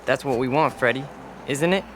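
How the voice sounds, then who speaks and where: A man's voice: medium pitch, a little fast, background noise, lightly acted. An actor playing an eager, awkward young biker in a radio drama, hesitant and stiff as he tries to fit in. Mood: earnest. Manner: hesitant, stiff attempts at slang.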